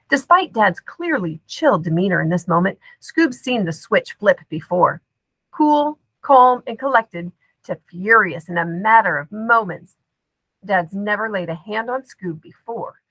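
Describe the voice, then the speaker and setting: A woman's voice: mid-range voice, a bit bright, no echo, low-quality recording. A woman narrating a children's story expressively from a script, acting out the drama as an audiobook reader. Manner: dramatic, acts out dialogue.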